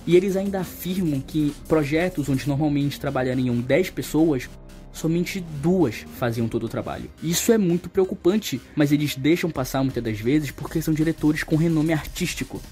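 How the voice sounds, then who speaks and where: A man's voice: fairly high pitch, normal speed, warm tone. Young Brazilian man giving opinionated commentary. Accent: Brazilian Portuguese. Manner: colloquial, emphatic when criticizing. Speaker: video essayist. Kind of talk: opinionated commentary, casual video essay. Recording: voice-over over background music. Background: music.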